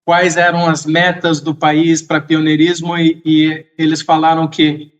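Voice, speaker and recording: Slow, high-voiced man telling a story. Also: monotone. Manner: slow, measured, reflective, with occasional soft laughs. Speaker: podcast guest. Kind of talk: casual storytelling in an interview.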